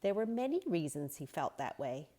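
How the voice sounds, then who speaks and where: A woman reading a children's story aloud in a gentle, expressive, unhurried way. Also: low-pitched. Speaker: storybook reader.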